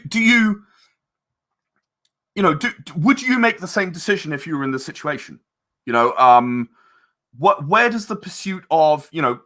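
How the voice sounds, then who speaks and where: A man being interviewed as a guest, explaining his creative work in a casual, halting way and repeating words as he thinks. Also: medium pitch, bright, forceful tone. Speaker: interview guest, audio drama director and voice actor.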